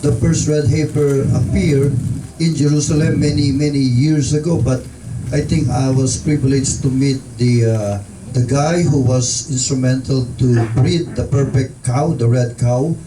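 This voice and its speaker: A man's voice: mid-range voice, fairly even intonation, far from the mic, noisy background. Hesitant man asking from across an echoey room. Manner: halting, with frequent fillers and restarts. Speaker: member of the study group. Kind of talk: asking a question in a group discussion.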